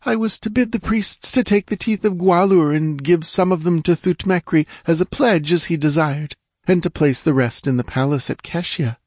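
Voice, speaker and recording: A man's voice: on the high side, normal speed, darkish tone, no room sound, pitched above the performer's own voice. A terrified young dancing girl pleads in a thin, tearful voice, words quivering on the edge of sobs, as a man reads her part. The sound is close and dry, with no room around it. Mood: frightened.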